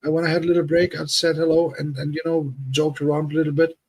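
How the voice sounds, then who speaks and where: A man's voice: a little high, level tone, fast, darkish tone, poor audio. A man from Germany, hosting a casual livestream chat and rambling with many restarts and self-deprecating asides. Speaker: livestream host.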